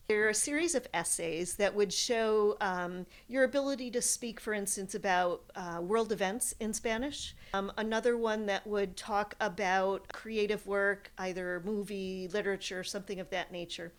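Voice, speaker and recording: A woman explaining her field in an interview, in the manner of a college professor, with animated examples. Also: medium pitch.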